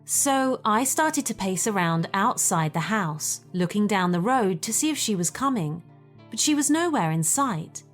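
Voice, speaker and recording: A woman's voice: medium pitch, expressive, unhurried, soft background music. A woman with a British accent reading a scripted first-person story as a storyteller.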